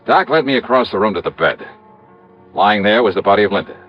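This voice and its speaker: Actor as a low-voiced, animated radio detective. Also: male voice, a little fast, faint music behind, lightly acted. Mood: reflective narration. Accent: American. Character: shrewd, brisk male freelance insurance investigator, narrating his case. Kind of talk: 1950s radio detective acting. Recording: dull, lo-fi old radio recording.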